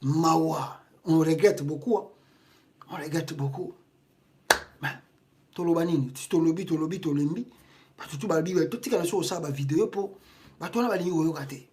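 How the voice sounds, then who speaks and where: A woman's voice: sits low, fairly quick, pauses often, mellow, a little hiss or hum. A woman from Congo with a Congolese French accent, giving impassioned political commentary in a video, indignant and full of rhetorical questions. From Congo (DRC).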